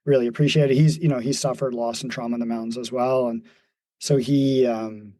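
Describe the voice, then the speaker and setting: Mellow-voiced man in his forties. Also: medium pitch, fairly even intonation, fairly quick. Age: 40s. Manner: thoughtful, many fillers and restarts, laughs easily. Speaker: podcast guest, mountain runner. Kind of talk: reflective casual conversation.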